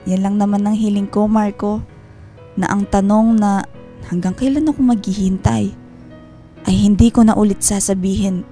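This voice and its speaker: Woman acting tender, wounded Filipina wife, mellow voice. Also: mid-range voice, steady tone, soft background music, natural voice. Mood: relieved, loving. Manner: earnest, heartfelt, measured delivery. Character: tender, wounded wife and mother back from working abroad. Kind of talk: Filipino radio drama acting.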